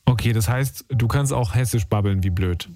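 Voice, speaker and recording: A man hosting a late-night radio call-in show from a studio, bantering and teasing his callers in a playful, quick way. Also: fairly low pitch, a little mellow, soft background music. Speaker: radio host. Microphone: studio microphone.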